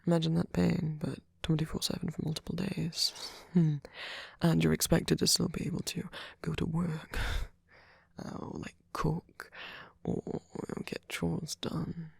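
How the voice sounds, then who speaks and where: A man playing a sweet, gentle vampire boyfriend in an ASMR comfort roleplay, speaking haltingly in a breathy, husky whisper. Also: a little high, monotone, no room sound, studio quality, heavily acted. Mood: gently musing.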